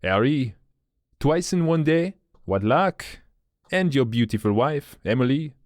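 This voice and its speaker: A man's voice: medium pitch, expressive, no echo, close to an everyday voice. Male narrator doing older French enforcer, polite menace, French accent, dry sound. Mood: silkily menacing. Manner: courteous, measured, veiled threats.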